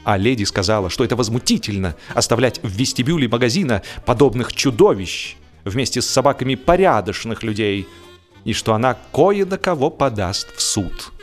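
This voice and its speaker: A man's voice: mid-range voice, animated, moderate pace. A man narrating a humorous story in a theatrical, mock-solemn, ironic manner. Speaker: audiobook narrator. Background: music.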